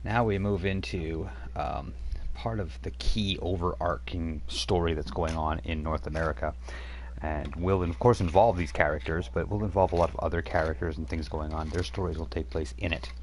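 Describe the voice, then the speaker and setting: A man's voice: low voice, fairly even intonation, moderate pace, a little hiss or hum. A man hosting a live-streamed tabletop game night, chatting casually and laughing easily. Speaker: game master and stream host.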